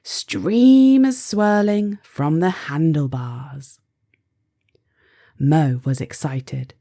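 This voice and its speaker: A woman storyteller reading a children's picture book aloud in an expressive, deliberate way for young listeners. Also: low voice, gravelly. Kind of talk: scripted children's audiobook narration.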